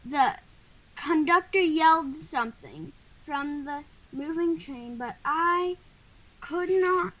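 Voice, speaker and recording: A teenage girl narrates a storybook tale in a very high, bright voice. She reads extremely slowly, as a student in a radio play. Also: lively intonation, poor audio.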